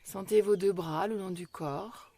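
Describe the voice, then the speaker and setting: Calm, slow-speaking woman guiding meditation. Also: mid-range voice, vocal fry. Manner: slow, measured delivery with long pauses; calm, soothing, gentle. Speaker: yoga nidra meditation guide. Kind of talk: guided relaxation meditation.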